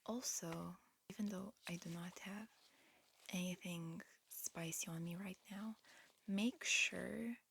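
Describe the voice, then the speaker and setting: Slow whispering ASMR woman. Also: lowish voice, slight background noise. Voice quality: breathy, mostly whispered with soft murmurs. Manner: gentle, unhurried, halting instructions. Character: disguised alien medical examiner, politely fussy and encouraging. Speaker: ASMR roleplay performer playing an examiner.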